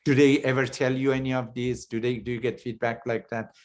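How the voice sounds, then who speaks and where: A man whose first language is Persian, hosting an interview in a halting, anecdotal way. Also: mid-range voice, little pitch movement, darkish tone. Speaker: interview host. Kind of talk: casual interview chat.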